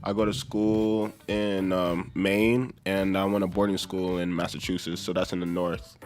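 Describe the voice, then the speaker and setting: Very deep young American man. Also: level tone, normal speed, darkish tone. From Atlanta, southern United States. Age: young adult. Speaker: exchange student guest. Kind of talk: casual chat.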